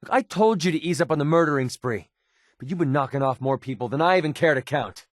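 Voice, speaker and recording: An actor playing a guarded, wounded mercenary in a video game, sarcastic and quick-tempered but loyal, speaking in a plain, earnest, edgy way. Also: male voice, a little high, no echo, studio quality, natural voice. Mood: exasperated, confrontational. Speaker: companion character in a video game. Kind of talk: naturalistic video game voice acting.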